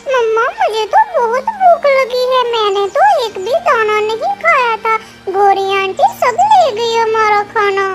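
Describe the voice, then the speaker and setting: Casting: baby girl bird, childlike, sweet and anxious, extremely high, over music. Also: lively intonation, measured pace, hardly any pauses. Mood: worried. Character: hungry little girl sparrow chick, sweet, worried. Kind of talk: children's cartoon story acting.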